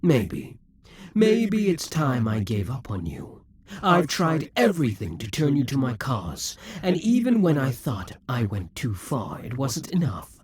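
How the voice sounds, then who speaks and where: A man's voice: medium pitch, wildly swooping pitch, unhurried, darkish tone, slightly rough, dry recording, exaggerated voice. A man voicing a possessive, scheming male demon king in a theatrical monologue for an audio roleplay. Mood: brooding. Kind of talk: audio roleplay addressing the listener.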